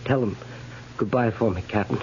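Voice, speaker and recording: An actor playing a brave, dying young cavalry private in a 1950s radio drama, sounding weak. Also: male voice, lowish voice, moderate pace, natural voice. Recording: noisy, muffled 1950s radio recording.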